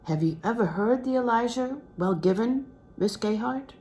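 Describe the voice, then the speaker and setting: A woman's voice: a little high, animated, moderate pace, mellow, pitched above the performer's own voice, natural voice. A woman voicing a courteous, assured male concert singer and teacher in a novel reading, with a genteel, instructing manner. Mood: indulgent, curious. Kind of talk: storybook character reading from a literary novel.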